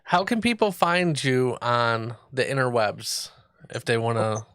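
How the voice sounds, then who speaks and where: A man's voice: medium pitch, animated, unhurried, fairly bright tone, smooth, no echo. A man hosting a casual radio interview, joking around and reacting often with short words. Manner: jokey, frequent short reactions. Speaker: radio show host.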